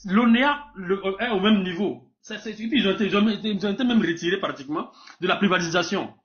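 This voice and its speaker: A Burkinabé man debates politics at a very quick pace, in a slightly high voice. He speaks emphatically, repeats himself and trips over words. The live stream sound is dull. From Burkina Faso. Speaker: debate participant.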